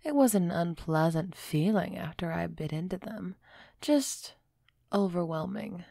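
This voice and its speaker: A woman playing an aloof, aristocratic vampire who is softening toward a human, in a measured, musing audio roleplay performance. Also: fairly low pitch, creaky, dry recording, studio quality. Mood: pensive. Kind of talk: scripted audio roleplay acting, close and soft.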